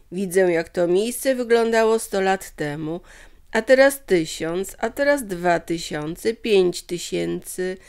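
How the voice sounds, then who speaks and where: A woman's voice: on the low side, gravelly, heavily acted. A woman voicing a dreamy male professor in a children's story, exclaiming in wonder and stretching out words. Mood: awestruck. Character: dreamy male professor, awestruck by visions of creation.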